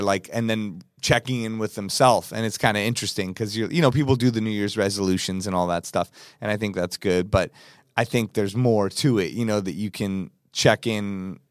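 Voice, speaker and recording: A man's voice: fairly low pitch, no echo, studio quality. A man hosting a podcast, talking in an easygoing, casual way with lots of fillers and agreement. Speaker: podcast host. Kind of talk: casual conversation.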